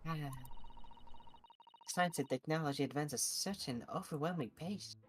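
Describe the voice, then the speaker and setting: A man's voice: on the high side, normal speed, lightly acted. A man voicing an earnest, polite young man in a video game fan dub, with formal and wondering phrasing.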